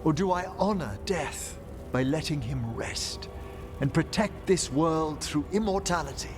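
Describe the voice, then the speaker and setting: An actor playing a refined, earnest necromancer scholar in a fantasy game, eloquent and formal with a tender manner. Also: male voice, on the high side, expressive, a little slow, over music. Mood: torn, yearning.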